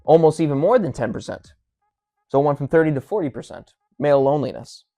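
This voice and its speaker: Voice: medium pitch, expressive, fast talker, dark tone. A video commentator speaking straight to listeners in an opinionated, emphatic and repetitive way, often pleading. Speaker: video creator giving commentary.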